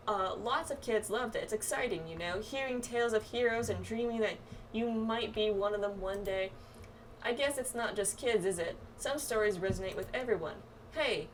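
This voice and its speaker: A woman's voice: a little high, slight background noise, lightly acted. Woman reading as a wistful young fantasy clanswoman, slightly distant. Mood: fond. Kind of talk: reading game dialogue aloud.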